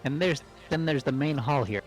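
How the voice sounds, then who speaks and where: A man's voice: a little high, moderate pace, faint music behind, lightly acted. A man playing an agitated, baffled teenage male student in an amateur game dub. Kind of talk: amateur game character acting.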